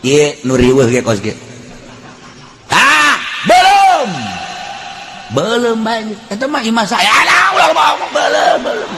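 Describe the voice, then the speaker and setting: A man with a Sundanese accent preaching in an animated, earthy, joking storytelling style. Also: extremely high pitch, measured pace, gravelly, very noisy. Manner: animated, breaks into shouts and laughter. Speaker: Islamic preacher. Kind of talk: humorous storytelling sermon.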